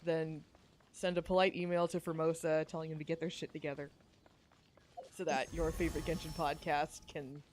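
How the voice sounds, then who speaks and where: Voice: mid-range voice, little pitch movement, moderate pace, a little mellow, slight background noise. A podcast host chatting casually on a livestream in an informal, jokey way. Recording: music behind the voice. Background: music.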